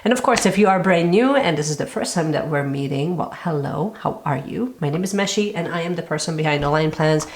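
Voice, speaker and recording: Woman, low voice, raspy from a cold, casual chatty host, lots of fillers. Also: animated, a little fast, hardly any pauses. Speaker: planner channel host. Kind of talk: casual chat to camera.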